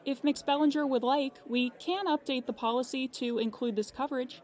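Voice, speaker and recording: An actress playing a polite, unflappable insurance claims agent in an audio drama, precise and businesslike. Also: female voice, high voice, steady tone, brisk, a little mellow, some chatter behind, close to an everyday voice. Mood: polite, apologetic. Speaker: claims agent on a phone call.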